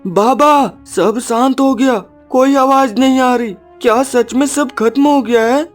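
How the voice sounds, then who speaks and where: A man's voice: very high voice, measured pace, soft background music, pitched above the performer's own voice. A man voicing a frightened young boy in a cartoon story, anxious and pleading with devotion. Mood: uncertain relief. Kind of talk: dramatic cartoon story acting.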